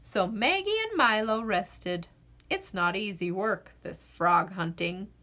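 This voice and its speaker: A woman reading a children's picture book aloud as an expressive narrator, with deliberate phrasing and dramatic pauses. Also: medium pitch. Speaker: children's storybook reader.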